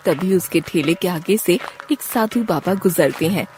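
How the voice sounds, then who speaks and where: A woman's voice: fairly low pitch, measured pace, a little mellow, soft background music. A woman narrator reading a scripted moral story.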